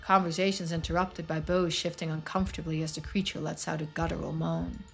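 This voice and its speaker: A woman's voice: deep voice, little pitch movement, fairly quick, creaky, soft background music. A woman reading dramatic scripted narration for a story-driven video game. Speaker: video game voice-over reader.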